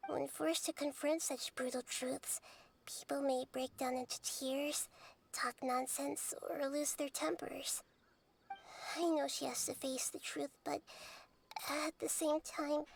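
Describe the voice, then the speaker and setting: An actress voicing a gentle, wise, childlike goddess in a video game, speaking softly and thoughtfully. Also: female voice, sits high, steady tone, slow, vocal fry, slight background noise, exaggerated voice, poor audio. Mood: pensive. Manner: thoughtful, soft and careful. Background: game music.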